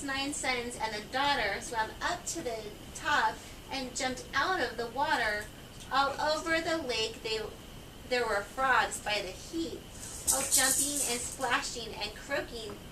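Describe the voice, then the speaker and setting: A woman reads rhyming children's verse aloud at an unhurried, singsong pace. Her voice is a little high, and it comes from a short distance in a room with strong echo. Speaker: storybook reader. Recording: echoey, distant, noisy home recording.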